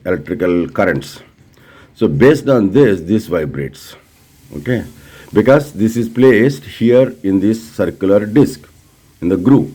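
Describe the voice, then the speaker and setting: A man's voice: lowish voice, mellow. A man with an Indian accent teaching in an informal, hands-on way, repeating phrases and asking rhetorical questions as he addresses listeners directly. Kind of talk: informal hands-on technical explanation.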